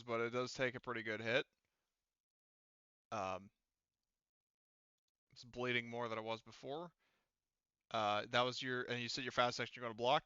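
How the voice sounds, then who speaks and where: Male game master, forward bright tone, smooth and clean, casual rules talk, dry but slightly muffled recording. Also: medium pitch, no echo. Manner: thinks aloud through rules and dice rolls. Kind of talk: running a tabletop roleplaying game, casual.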